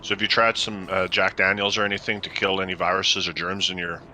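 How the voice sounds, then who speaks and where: Dude chatting on a game stream, deep voice but really sharp n bright, casual, bit of background noise. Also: male voice, flat delivery, fairly quick, rough recording. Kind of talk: casual chat.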